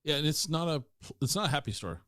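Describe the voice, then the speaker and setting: A man's voice: fairly high pitch, lively intonation, fast talker, fairly bright tone, no room sound, clean studio sound. An American man hosting a podcast interview in an informal, rambling, conversational way. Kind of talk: casual interview chat.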